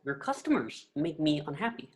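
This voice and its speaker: A woman's voice: low-pitched, sing-song, normal speed. A woman playing a fussy, tyrannical middle-aged male office boss in a script read, clipped, pompous and condescending. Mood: indignant. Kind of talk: amateur script table read.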